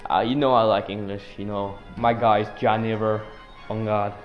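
A man's voice: lowish voice, flat delivery, normal speed, lo-fi sound, soft background music. A teenage boy as a podcast guest, giving laid-back, rambling joke answers full of fillers. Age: teens. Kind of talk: joking student podcast interview.

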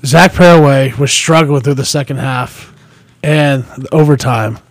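A man's voice: mid-range voice, measured pace, no room sound. A man hosting a casual sports talk radio show from a studio, upbeat as he plugs the show and introduces his co-hosts.

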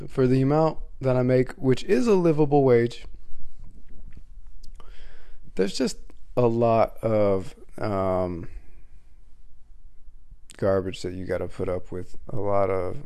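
A man with a scratchy, slightly congested voice talks through a solo podcast episode, wandering from thought to thought with plenty of restarts. His voice sits low and mellow. He sounds relaxed and unscripted. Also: vocal fry. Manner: rambling, frequent restarts and fillers. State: scratchy voice from a cold. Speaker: podcast host; waiter. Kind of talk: casual solo podcast monologue.

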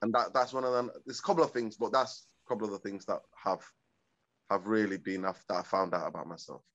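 A man's voice: lowish voice, fairly even intonation, quick pace, fairly bright tone, highs cut off. A man from East Africa talking reflectively in a casual conversation, rambling and often checking in with "you know what I mean". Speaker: guest.

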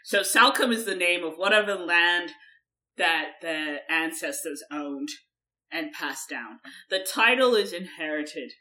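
A woman's voice: fairly low pitch, a little slow, pushed and bright, rough recording. A woman from Germany with a mixed German, American and English accent, explaining things in casual chat as a podcast co-host and swearing now and then.

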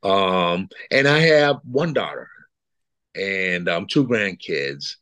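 Man, 60s, from Puerto Rico; low-pitched, meandering guest talk, dry sound. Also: animated, takes it slowly, a bit bright, dry recording. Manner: rambling, repeats words, chuckles between thoughts. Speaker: guest artist. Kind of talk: casual interview chat.

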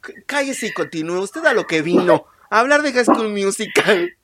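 A woman's voice: medium pitch, moderate pace, a crowd in the background. A young woman with a Mexican accent, chatting casually as a podcast co-host, teasing and wandering off topic in slangy speech. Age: young adult. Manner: animated, teasing, digressive, slangy. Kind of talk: casual bantering chat with a friend.

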